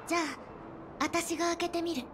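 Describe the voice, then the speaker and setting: High, calm anime explorer girl. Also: fairly quick, noisy background. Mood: calm, curious. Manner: plain, understated, matter-of-fact. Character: calm, low-key young female snow explorer. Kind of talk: Japanese anime game voice acting. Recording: clean studio voice over game music.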